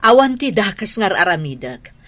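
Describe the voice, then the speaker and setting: A stubborn Ilocano gambling woman in a radio drama, defensive and wheedling. Her voice is bright and sharp, with animated pleading swings at a middling pitch. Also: moderate pace.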